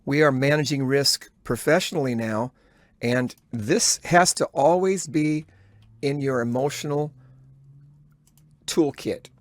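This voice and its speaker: A man records a trading journal voice-over in a dry, close space. He has a mid-pitched voice and thinks aloud as he follows the action. His delivery is halting and instructive, as if coaching himself and the viewer at once. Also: no echo. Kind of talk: live trade commentary, thinking aloud.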